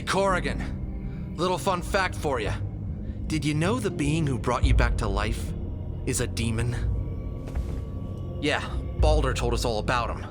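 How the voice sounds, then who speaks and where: Man playing a cocky, higher-voiced defiant hero. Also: lightly acted. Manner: flippant, challenging. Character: defiant wisecracking male hero, cocky and determined. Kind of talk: audio drama acting.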